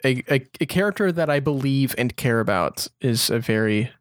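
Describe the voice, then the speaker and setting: A man co-hosts a casual fan podcast. His voice sits at an average male pitch and is recorded dry, with very little room sound. He talks in an informal, conversational way. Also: lively intonation, normal speed, dry recording. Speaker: podcast co-host. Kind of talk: casual chat about fan questions.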